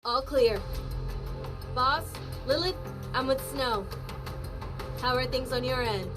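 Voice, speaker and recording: High-voiced, composed android squad veteran. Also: female voice, takes it slowly. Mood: businesslike. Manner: composed, coaxing, playful. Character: calm, reassuring female android squad veteran, gently teasing. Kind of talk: dramatic video game dub acting. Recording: music behind the voice.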